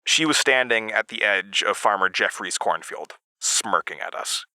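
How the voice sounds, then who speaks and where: A man's voice: fairly low pitch, lively intonation, normal speed, pushed and bright, no room sound, heavily acted. A man playing an earnest, rueful narrator of a horror audio drama, recounting his childhood in conversational, confessional asides. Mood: reflective, wry. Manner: conversational, hesitant asides to the listener.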